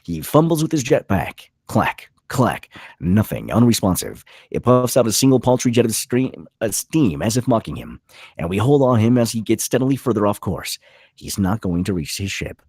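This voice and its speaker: Husky, animated male script narrator. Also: on the low side, moderate pace, no room sound, studio quality. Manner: punchy, clipped delivery with voiced sound effects. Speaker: narrator reading stage directions.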